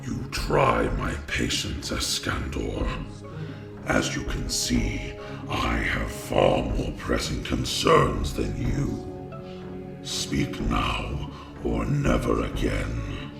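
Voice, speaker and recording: An actor playing a giant ancient vampire lord, imperious and contemptuous, in a growling audio drama performance. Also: male voice, huge pitch swings, over music, heavily acted. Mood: contemptuous, impatient. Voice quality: very gravelly, deep growl. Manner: slow, haughty, commanding. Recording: roomy echo.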